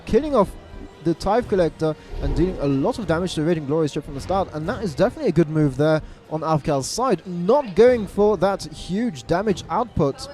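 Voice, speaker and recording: A man giving live play-by-play commentary on a card game match, stressing repeated words and correcting himself quickly. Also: fairly high pitch, expressive, darkish tone, faint music behind. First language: English. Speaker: esports caster. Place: event venue abroad.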